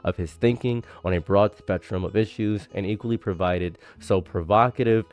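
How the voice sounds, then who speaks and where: A man's voice: lowish voice, moderate pace, darkish tone. A young man from Egypt who moved to America as a child, giving casual, emphatic political commentary as a streamer, sometimes reading aloud and repeating phrases for emphasis. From Egypt, moved to America at age eight. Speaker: streamer and commentary video creator.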